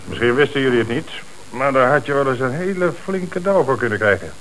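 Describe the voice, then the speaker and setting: A man's voice: medium pitch, fairly bright tone, lightly acted, rough recording. Sardonic, stern police chief played by a man. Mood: shrewd, measured. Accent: Dutch from the Netherlands. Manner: brisk, sardonic, authoritative. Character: stern, shrewd police chief interrogating suspects. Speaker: actor. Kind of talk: Dutch radio play acting. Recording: hissy old radio recording.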